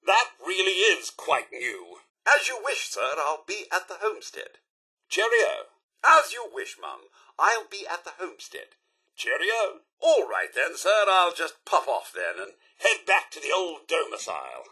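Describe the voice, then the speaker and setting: A man's voice: on the high side, huge pitch swings, a little slow, sharp tone, slightly rough, exaggerated voice. A man playing a prim, devoted robot butler in a video game, fussy and formally polite, with a British accent. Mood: courteous. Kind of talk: video game character dialogue.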